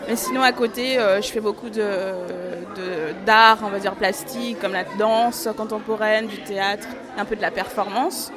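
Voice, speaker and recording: A woman's voice: mid-range voice, steady tone, normal speed, few pauses, a crowd in the background. A young woman in her twenties, an artist photographer, answering questions in a casual radio interview with many fillers and easy laughs.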